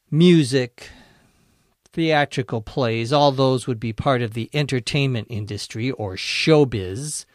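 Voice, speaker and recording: A man hosting an English-learning podcast, speaking slowly and deliberately, spelling out words letter by letter and slipping in dry jokes. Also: medium pitch, dry recording. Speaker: English teacher and podcast host. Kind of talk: slow, clear ESL podcast explanation.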